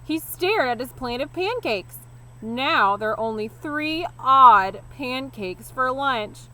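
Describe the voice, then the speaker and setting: A woman teacher reading a children's story aloud expressively, leaning deliberately on key words. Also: sits high, animated, bright, forceful tone, low-quality recording. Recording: clear background noise.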